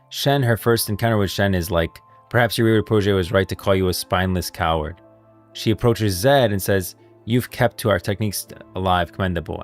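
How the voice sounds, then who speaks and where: A man doing casual live commentary on game lore as a streamer, halting and repeating himself as he thinks aloud. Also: sits low, creaky.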